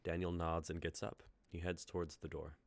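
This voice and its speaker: A man reading stage directions aloud for a script reading in a plain, measured way. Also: low-pitched, level tone.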